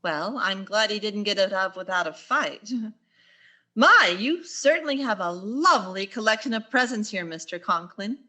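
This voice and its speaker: A woman playing a wry, flustered English teacher in an old-time radio sitcom, theatrical and quick with wisecracks. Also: mid-range voice, animated, sharp tone. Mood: arch, polite. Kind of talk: 1940s radio sitcom acting on stage.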